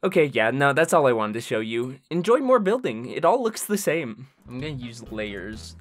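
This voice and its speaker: A man's voice: medium pitch, big pitch swings, moderate pace. Young man, casual streamer, sarcastic and chatty, faint music underneath. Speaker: level-building streamer. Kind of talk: casual commentary with voice-over narration.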